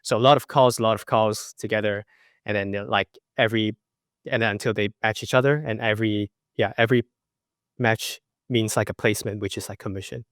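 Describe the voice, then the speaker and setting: A man in his twenties from Macau, a podcast guest telling his story in a relaxed way with lots of fillers. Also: fairly low pitch, a little fast, no echo, clean studio sound. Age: 20s. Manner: relaxed, many fillers like you know. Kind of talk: casual interview.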